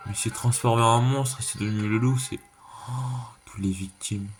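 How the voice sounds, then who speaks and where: A man's voice: mid-range voice, fairly even intonation, normal speed. A man giving a casual, slangy reaction commentary, thinking aloud and repeating himself. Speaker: reaction video creator. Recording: clearly noisy background.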